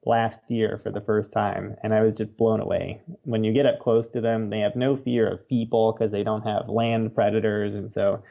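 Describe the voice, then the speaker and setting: American man, creaky voice, conversational interview guest, mid-pitched, slightly dull recording. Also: little pitch movement, moderate pace, hardly any pauses. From United States, Oregon. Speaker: author and birder, interview guest.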